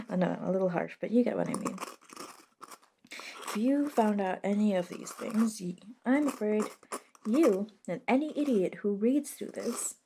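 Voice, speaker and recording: A woman playing a friendly, reluctant modern witch in a soft-spoken ASMR roleplay, casual, unhurried and slightly wry. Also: mid-range voice, slight background noise, natural voice. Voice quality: soft, hushed delivery.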